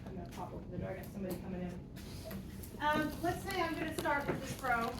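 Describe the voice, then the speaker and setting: A woman's voice: on the high side, sing-song, fast talker. A woman teaching a math class, playful and jokey as she works through examples aloud. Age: 50s. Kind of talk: classroom lecture. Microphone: distant room mic. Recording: echoey classroom, distant mic, noisy.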